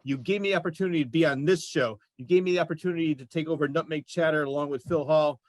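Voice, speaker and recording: Man, show host, casual interview chat, slightly high voice, dry sound. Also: fast talker, no room sound.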